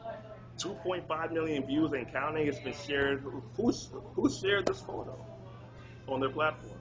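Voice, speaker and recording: A man's voice: on the high side, measured pace, lo-fi sound, over music. A man hosting a casual interview, upbeat and encouraging, often repeating himself. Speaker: interview host.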